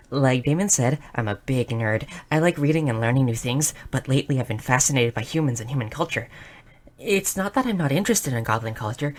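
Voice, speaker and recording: A woman voicing a shy, nerdy, curious young goblin girl in a roleplay audio drama, speaking softly and earnestly with small stammers. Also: rumbling low. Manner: soft, hesitant, stammering, earnest.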